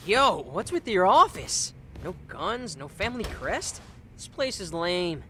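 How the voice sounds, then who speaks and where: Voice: medium pitch, very animated, unhurried, pushed and bright, slight background noise. An actor playing a cheeky but earnest teenage boy in a video game dub, talking in offhand, youthful slang. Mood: cheeky, dismissive.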